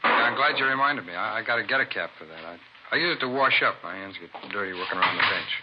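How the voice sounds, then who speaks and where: A man's voice: on the low side, lively intonation, fast talker, muffled recording, very noisy, close to an everyday voice. A man acting an evasive, defensive murder suspect in a 1950s radio drama, stammering when pressed, on a vintage recording. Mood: nervous, defensive.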